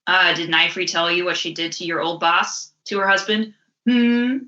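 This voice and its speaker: A woman's voice: on the low side, moderate pace, pushed and bright, echoey room. A woman voicing a taunting, ruthless henchman in a comic readalong, mocking and threatening, in slightly dull audio. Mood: menacing. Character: taunting, ruthless henchman sent to capture fugitives.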